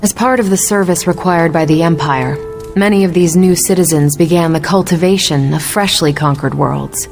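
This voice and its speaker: A woman's voice: fairly low pitch, normal speed, a little mellow, soft background music, natural voice. An actress playing a confident military superhero woman in a video game, explaining things in an assured, lightly teasing way. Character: confident adult superhero woman, sharp patient military mentor.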